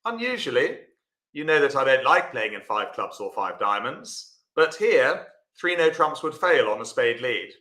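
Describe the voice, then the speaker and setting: A man's voice: mid-range voice, sing-song, normal speed, pushed and bright, echoey room. A man teaching a live online lesson, talking through the play and chatting to viewers by name. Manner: chatty, talks to viewers by name while explaining. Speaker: bridge teacher.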